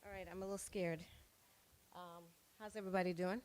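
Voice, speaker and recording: A woman's voice: lowish voice, steady tone, fairly quick, a little mellow. A woman from Zimbabwe whose first language is Shona, performing spoken word poetry at an open mic and leading a rhythmic chanted refrain. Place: small music club stage.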